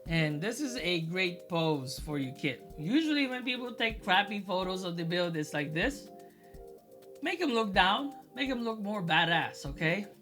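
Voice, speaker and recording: A hobby channel host giving casual review commentary in an easygoing way, often pausing to search for words with fillers. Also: medium pitch, expressive, fairly bright tone, soft background music.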